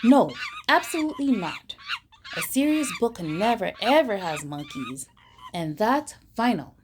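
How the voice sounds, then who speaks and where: A woman reading a children's picture book aloud, slowly and expressively, with mock-stern emphasis. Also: a little high, huge pitch swings, a little hiss or hum. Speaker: storybook reader.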